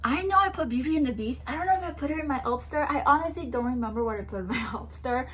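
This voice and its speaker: A woman's voice: high voice, expressive, quick pace, a bit bright, breathy edge. A woman chatting casually to camera as she unboxes a gift box, bubbly and giggly, seated on a bouncy ball at home. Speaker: vlogger unboxing a swap box.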